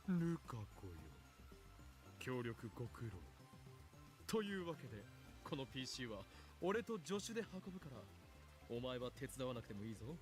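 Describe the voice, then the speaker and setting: A man's voice: medium pitch, big pitch swings, fast talker, over music, close to an everyday voice. A male actor playing a pompous, bossy young man in an anime visual novel, declaiming haughtily. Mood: magnanimous.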